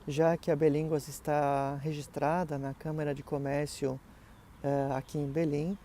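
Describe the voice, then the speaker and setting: Middle-aged guy teaching german, chatty with lots of tag questions, warm mellow voice, little hiss behind. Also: fairly high pitch, flat delivery, moderate pace. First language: Portuguese. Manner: conversational, many fillers and tag questions. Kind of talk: explaining online course details to students.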